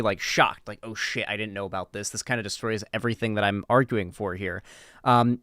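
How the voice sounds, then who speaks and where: A man with a clear, smooth voice in a dry, close recording. He talks off the cuff like a streamer reacting to something, informal and emphatic, restarting phrases and dropping swear words. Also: medium pitch, a bit bright, no echo. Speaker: political commentary streamer. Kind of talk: casual reaction commentary, unscripted.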